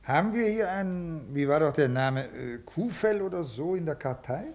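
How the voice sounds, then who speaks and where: An old civil servant in a vintage radio drama, his voice on the higher side. He is curt and officious, an ailing desk tyrant who fumbles for names and barks orders. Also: lively intonation, normal speed, darkish tone. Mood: wary, probing. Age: older. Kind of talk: 1950s German radio drama acting.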